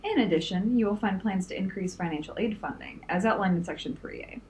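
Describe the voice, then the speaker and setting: A woman playing a commanding young supervillain in an audio drama, formal and deliberate. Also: mid-range voice, darkish tone, close to an everyday voice. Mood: coolly threatening. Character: commanding, coolly threatening young supervillain leader. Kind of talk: audio drama villain acting. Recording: faint noise and room ambience.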